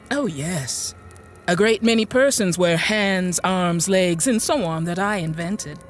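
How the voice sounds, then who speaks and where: An actress playing a genteel, infirm woman inventor in a radio drama, with courteous, hesitant, old-fashioned speech. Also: fairly low pitch. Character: genteel, infirm middle-aged woman inventor, politely apologetic and bookish. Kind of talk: radio drama monologue and dialogue.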